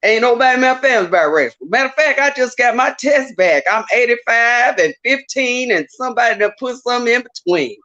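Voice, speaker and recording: A woman's voice: on the high side, lively intonation, a little fast, hardly any pauses, fairly bright tone, dull audio. A woman with a Southern US accent, calling into a show and chatting emotionally, laughing often. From Southern United States. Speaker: caller. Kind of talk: casual call-in chat.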